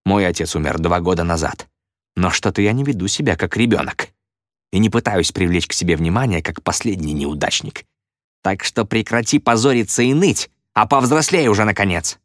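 A man's voice: medium pitch, wildly swooping pitch, a little slow, fairly bright tone, no room sound, studio quality, voice pushed up. A man voicing an angry, domineering teenage bully in an audiobook, taunting and barking demands. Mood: low fury.

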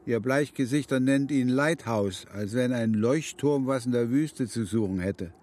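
An actor playing a calm, dignified Comanche man in a radio drama, measured and quietly wry. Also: mid-range voice, close to an everyday voice.

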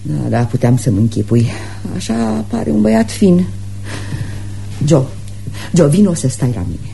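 A low, mellow, bossy middle-aged mother. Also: big pitch swings, gravelly, soft background music, heavily acted. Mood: coaxing, bossy. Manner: domineering, scolding. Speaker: radio drama actress. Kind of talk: radio theatre acting.

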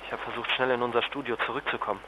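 A man's voice: medium pitch, steady tone, very fast, dull audio, noisy background, natural voice. An actor playing a stressed, exhausted male journalist in a naturalistic radio drama, talking in an urgent, clipped, colloquial way. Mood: weary, reporting.

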